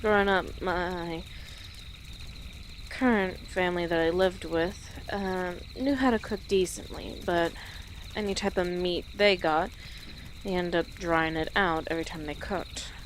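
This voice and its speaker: Woman playing a slow-talking, low-voiced female orc traveler. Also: close to an everyday voice, rough recording. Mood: matter-of-fact, friendly. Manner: unhurried, hesitant, dry-humored, matter-of-fact. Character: female orc traveler, small, wry, gruff but caring. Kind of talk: immersive audio roleplay addressed to the listener. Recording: clear outdoor nature ambience noise.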